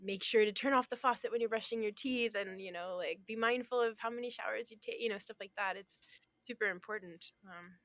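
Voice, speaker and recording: A young adult woman from Florida, talking as a guest artist in a casual interview, thoughtful, with many pauses and soft laughs. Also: medium pitch, dry recording.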